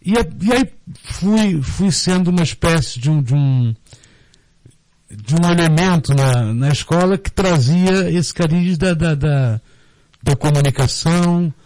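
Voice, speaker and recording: Brazilian man, halting, dry studio sound. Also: fairly high pitch, darkish tone, no room sound. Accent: Brazilian Portuguese. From Brazil, born in Rio de Janeiro, raised in Recife. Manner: halting, repeats words while explaining. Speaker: guest, storyteller and theatre group director. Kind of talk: casual radio interview, explaining.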